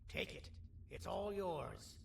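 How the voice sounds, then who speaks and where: A scheming cartoon tinkerer-leader for a video game. The voice is high and heavily gravelly, with formal, wry, theatrical delivery, heard in a strong echo. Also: male voice, expressive, slow, full character voice. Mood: wry, brisk. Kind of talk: video game character acting. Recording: echoey, distant, noisy game audio.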